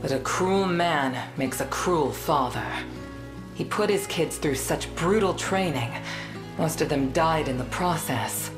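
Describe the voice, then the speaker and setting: Cocky, low-voiced female arena fighter. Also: measured pace. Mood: weary, wry. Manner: swaggering, teasing, relaxed drawl. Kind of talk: fantasy video game voice acting. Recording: music behind the voice.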